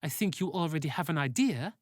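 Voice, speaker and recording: Narrator playing a stern, brisk team leader woman. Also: mid-range voice, animated, darkish tone, dry recording, clean studio sound, natural voice. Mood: stern warning. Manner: brisk, blunt, warning. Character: stern, authoritative woman leading a sci-fi team.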